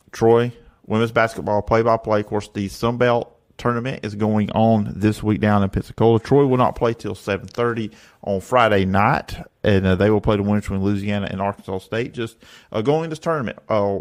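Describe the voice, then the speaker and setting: A male sports show host who asks his guest questions in an easygoing, conversational way. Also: on the low side. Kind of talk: casual sports talk interview.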